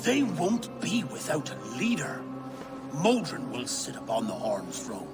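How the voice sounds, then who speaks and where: A brazen, scheming chieftain from a Dark Age video game drama. His voice sits a little high and leaps around freely. He sounds teasing and sardonic, with music clearly behind him. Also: wildly swooping pitch, a little slow, in a large hall. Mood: defiant, fervent.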